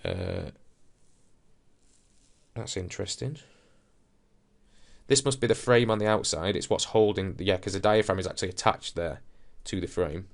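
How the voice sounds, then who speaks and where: Man, low-pitched voice, science teacher, informal explanations, conversational, thinking aloud. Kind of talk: informal explanatory lesson narration.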